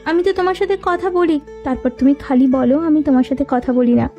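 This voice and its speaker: A woman's voice: sits high, brisk, highs cut off. A woman playing a bold, earnest young village girl in a cartoon story. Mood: feigned innocence. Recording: music behind the voice. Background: background music.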